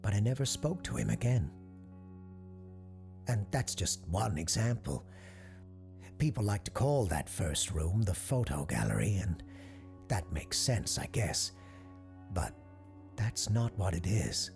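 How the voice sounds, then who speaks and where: A low male voice narrates an eerie audio drama in a grave, deliberate way. The delivery is measured yet moves widely in pitch. Faint music plays underneath. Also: big pitch swings, gravelly, darkish tone, full character voice. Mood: wistful, unsettled.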